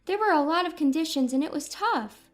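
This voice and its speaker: Woman doing young granddaughter, super high voice, lively, chatty and open, audio story. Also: a little fast. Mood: bright, candid. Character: cheerful, candid young adult granddaughter. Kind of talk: acted dialogue in an audio story.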